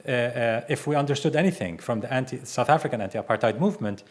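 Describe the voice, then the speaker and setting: A man from Palestine, an invited speaker, answering audience questions at a bookstore talk in a measured way with fillers between phrases. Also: mid-range voice, expressive. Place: bookstore event room.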